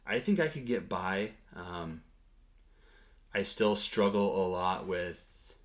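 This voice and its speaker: A man with a deep, low voice talks through a relaxed vlog update on his language learning. He hesitates often, filling pauses with "um" and "like" and restarting his sentences as he thinks aloud. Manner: hesitant, many fillers and restarts. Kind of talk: casual talking-head update.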